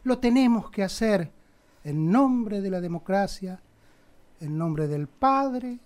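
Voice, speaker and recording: An actor playing a pompous evangelical town councillor, preachy and halting, in a satirical radio play. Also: male voice, high-pitched, expressive, darkish tone. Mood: preachy, solemn.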